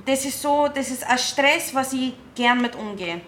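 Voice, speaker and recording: Bright-voiced young woman. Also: high-pitched, a little hiss or hum. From South Africa, raised in England. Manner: lively, quick, slightly non-native German. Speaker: band booker and musician. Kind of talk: casual interview chat.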